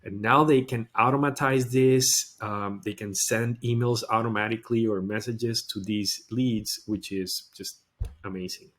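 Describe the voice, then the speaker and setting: Male presenter, lowish voice, informal and explanatory, for a casual screen-share software tutorial. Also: fairly even intonation, measured pace.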